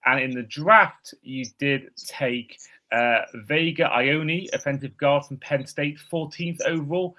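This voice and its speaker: A man hosting a football podcast, excitable and stammering a little at the start of phrases. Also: a little high, slow, poor audio. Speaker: podcast host. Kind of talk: casual podcast chat.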